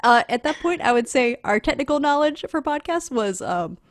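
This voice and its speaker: High, animated woman podcaster. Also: normal speed, dry recording, studio quality. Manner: giggly, chatty. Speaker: podcaster on a panel. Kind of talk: casual panel chat.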